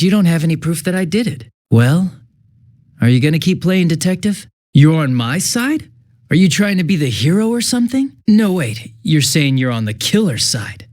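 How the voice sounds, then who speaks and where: An actor playing a smug, two-faced young police detective in a video game, casual and sarcastic with a taunting, cruel edge. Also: male voice, a little high, a little mellow. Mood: scornful, evasive. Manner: casual, sarcastic, taunting, shifting from breezy to menacing. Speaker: police officer.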